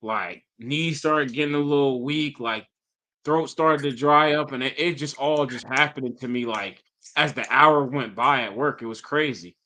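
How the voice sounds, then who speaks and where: Podcast co-host, male, bright, slightly nasal from the flu, rambling casual delivery. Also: mid-range voice, normal speed. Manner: relaxed storytelling, lots of fillers. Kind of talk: casual chat.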